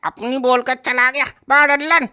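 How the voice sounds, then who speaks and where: A man voicing a grumpy, sarcastic village uncle in a cartoon comedy, scolding bluntly in a pitched-up rustic voice. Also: steady tone, normal speed, bright, forceful tone, clear, resonant tone, heavily acted. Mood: grumbling. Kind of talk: comic cartoon dialogue.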